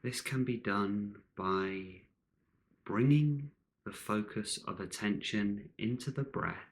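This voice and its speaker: Slow, low-voiced man guiding meditation. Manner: calm, measured, short phrases with long pauses. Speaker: meditation guide. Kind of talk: guided meditation narration.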